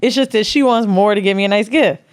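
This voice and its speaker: A woman in casual chat, telling stories in a blunt, animated way. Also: mid-range voice, quick pace.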